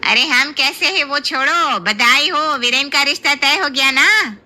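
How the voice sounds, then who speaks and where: A woman's voice: high voice, measured pace, sharp tone, exaggerated voice. A woman playing a bossy, gossipy aunt in a cartoon story, brusque and insistent. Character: bossy, gossipy older female relative, brisk aunt. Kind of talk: Hindi cartoon story voice acting.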